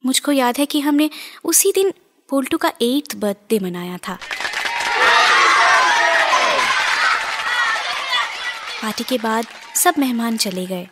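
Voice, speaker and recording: High-pitched, dramatic anxious mother played by an actress. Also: normal speed, some chatter behind. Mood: fond, narrating. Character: anxious Bengali mother recounting a haunting. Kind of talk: dramatic audio horror story acting.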